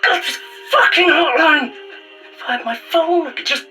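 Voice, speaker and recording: A woman's voice: high voice, moderate pace, sharp tone, recorded from a distance, faint music behind. A woman playing a frantic, entitled younger woman in an audio drama, sweary, sarcastic and exasperated. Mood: impatient, exasperated. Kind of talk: naturalistic audio drama acting.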